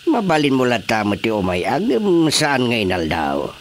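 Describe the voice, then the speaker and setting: An actor with an Ilocano accent playing a flirtatious, smooth-talking vice mayor in a radio drama comedy. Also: male voice, mid-range voice, wildly swooping pitch, moderate pace. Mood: persuading. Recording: faint background ambience noise.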